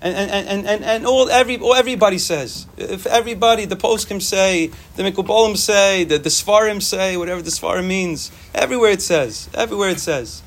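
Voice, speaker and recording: Young guy, yiddish first language, schmoozy torah talk, gets worked up and repeats himself, bit of a higher voice. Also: fairly quick, hardly any pauses. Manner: animated, repetitive, sprinkles Hebrew and Yiddish terms. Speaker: speaker giving a short Torah talk. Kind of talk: informal Torah talk to students.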